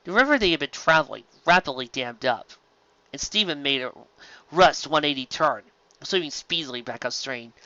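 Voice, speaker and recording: Male story narrator, lively pitch, bright tone, slightly dull dry home recording. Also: moderate pace, no room sound. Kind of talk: expressive scripted narration.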